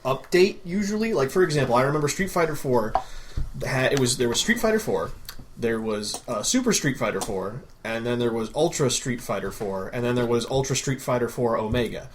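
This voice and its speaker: A man's voice: medium pitch, expressive, brisk, slight background noise. A man co-hosting a podcast, explaining things in loose, casual conversation. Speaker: podcast co-host.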